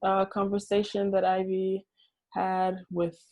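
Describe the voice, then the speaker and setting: A woman's voice: mid-range voice, monotone, slow, darkish tone. A woman in her twenties from Germany, speaking eagerly as a discussion guest. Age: 20s. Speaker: panel guest.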